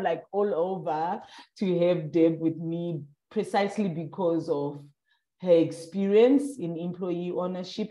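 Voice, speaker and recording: A woman's voice: on the low side, dark tone, roomy sound, low-quality recording. A young adult woman from Southern Africa, interviewing in a casual, hesitant way with many fillers.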